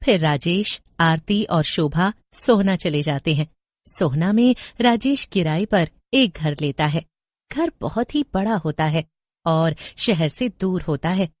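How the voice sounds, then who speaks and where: A woman's voice: on the low side, expressive, slow pace, no echo, clean studio sound. A woman storyteller reading a scripted horror tale in Hindi.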